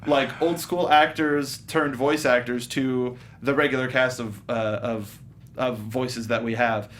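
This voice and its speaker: A man's voice: medium pitch, steady tone, a little slow, fairly bright tone. A man co-hosting a casual film review chat, speaking informally with a wry, geeky fan's manner. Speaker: co-host of a movie review show. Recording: clear background noise.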